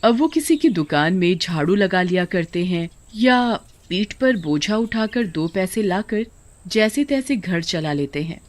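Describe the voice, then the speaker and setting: A woman's voice: fairly low pitch, a little mellow. A woman narrating a scripted moral story as its storyteller.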